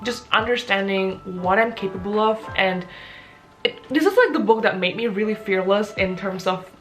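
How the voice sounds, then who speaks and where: A young woman from Indonesia chats in English with an Indonesian accent, her pitch sitting in the middle for a woman. She rambles casually, stopping to restart her sentences, and faint music plays under her voice. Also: normal speed. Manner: chatty with frequent fillers and restarts. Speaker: vlogger answering viewer questions. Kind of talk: casual talking-head Q&A.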